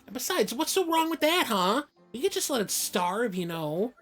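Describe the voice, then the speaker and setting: A woman voicing a feisty, stubborn young adventurer girl in an amateur game fan dub, sarcastic and quick to snap. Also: a little high, sing-song, fairly bright tone, soft background music, voice pushed up. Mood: indignant.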